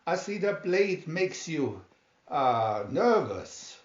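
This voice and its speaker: A man's voice: fairly high pitch, very animated, takes it slowly, roomy sound, highs cut off, slight background noise. A man voicing a formal, condescending and sneering Spanish military commander in a storybook. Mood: mock courtesy. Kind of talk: storybook character reading.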